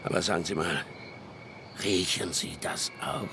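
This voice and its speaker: An actor playing a sarcastic, grumbling older horror author in a radio play. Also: male voice, low-pitched, steady tone, measured pace, creaky, music in the background. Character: older male horror author, dry and sardonic.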